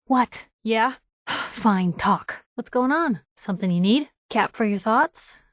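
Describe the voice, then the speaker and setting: A woman voicing a sharp-witted, idealistic young female reporter companion in a video game, wry and conversational. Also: a little high, animated, takes it slowly, dry recording, studio quality. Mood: casual.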